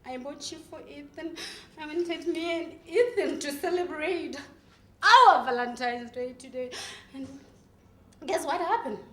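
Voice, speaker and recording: A woman's voice: high-pitched, a bit bright, slight background noise. A woman playing a volatile, heartbroken young woman in a melodramatic acting monologue. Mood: bitter, wounded. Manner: melodramatic, tearful outbursts and drawn-out pleading. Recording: echoey room.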